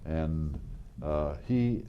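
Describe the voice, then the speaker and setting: Very deep-voiced older man telling stories. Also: animated, very slow, smooth, muffled recording. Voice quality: loud voice. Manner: rambling, chuckling, with many fillers and restarts. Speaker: guest, local historical reenactor. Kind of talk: casual interview storytelling. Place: community TV studio.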